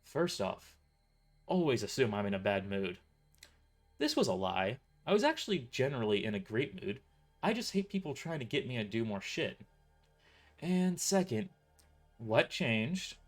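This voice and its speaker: A man's voice: mid-range voice, very animated, normal speed, pauses often, soft background music. A man narrating his own story in the first person as a scripted audio drama. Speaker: author and narrator.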